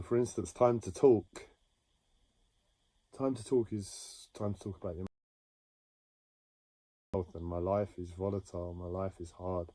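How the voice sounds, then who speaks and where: A man talking slowly and haltingly about his own experiences in a reflective monologue, with many pauses and restarts. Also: low voice, mellow, no room sound. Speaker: livestreamer sharing a personal story. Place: home.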